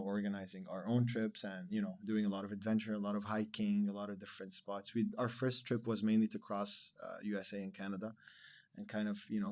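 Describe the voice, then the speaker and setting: Low, flat-voiced man in his thirties. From Lebanon. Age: 30s. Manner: relaxed, rambling with many fillers. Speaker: podcast guest. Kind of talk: casual interview chat.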